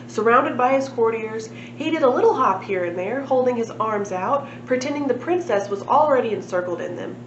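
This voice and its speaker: Higher-voiced woman reading aloud, echoey room. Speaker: library read-aloud reader. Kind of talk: storybook narration with casual asides. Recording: echoey room, dull sound, noticeable noise.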